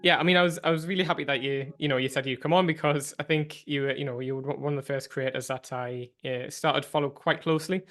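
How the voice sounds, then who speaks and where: A man's voice: medium pitch, steady tone, quick pace, few pauses, a bit bright. A man hosting a podcast interview, speaking hesitantly with many fillers. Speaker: podcast host.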